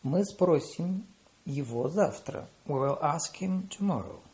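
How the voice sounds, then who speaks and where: A man reads out short phrase pairs for a language lesson, his English slow and carefully spaced after brisker Russian. His voice sits a little high and swings widely in pitch. A gravelly, creaky edge runs through it. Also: expressive, darkish tone. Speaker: language teacher. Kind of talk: reading lesson phrases with translations.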